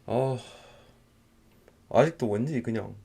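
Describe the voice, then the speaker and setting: A man's voice: mid-range voice, slow pace, gravelly, breathy edge. A young man from Texas, a native English speaker with an American accent, chatting casually and rambling while he draws on a stream. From United States (Texas). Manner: relaxed, rambling, laughs often. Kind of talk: casual chat while drawing.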